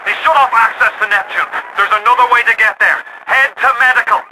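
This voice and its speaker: Gritty Irish rebel over noisy radio. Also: male voice, extremely high pitch, a little fast. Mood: frantic, alarmed. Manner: urgent, folksy, coaxing. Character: gritty working-class rebel man guiding a newcomer by radio. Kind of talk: video game voice acting. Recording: noisy lo-fi radio game clips.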